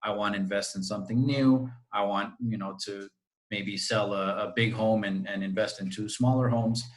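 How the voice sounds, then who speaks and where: Costa rican real estate guy, lowish voice, chatty and friendly, video call. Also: fairly even intonation, moderate pace. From Costa Rica. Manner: friendly, talkative, explains at length. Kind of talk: interview answers.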